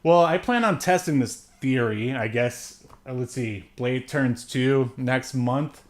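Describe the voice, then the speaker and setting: A man's voice: mid-range voice, slow pace. A man co-hosting a podcast, chatting casually about a movie in a joking, rambling way. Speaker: podcast co-host. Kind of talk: casual movie review chat.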